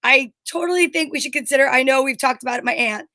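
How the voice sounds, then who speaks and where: A woman's voice: high-pitched, quick pace, pushed and bright, dry recording, clean studio sound. An upbeat, enthusiastic woman chatting casually as co-host of a live online comedy show.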